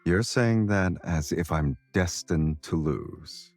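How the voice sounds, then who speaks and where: An actor playing a confident, teasing and tender male love interest in a romance game, with a husky voice. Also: low-pitched, slow pace, darkish tone, dry recording, soft background music. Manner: smooth-talking, playfully teasing.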